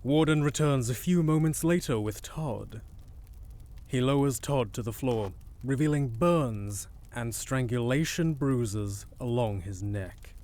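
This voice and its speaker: A man delivers scripted narration for an audio drama. His voice sits at an average pitch, and he tells the story in a measured, descriptive manner. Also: a little mellow.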